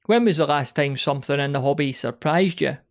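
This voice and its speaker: A man's voice: a little high, a little fast. A man reading out prepared questions as a podcast interviewer.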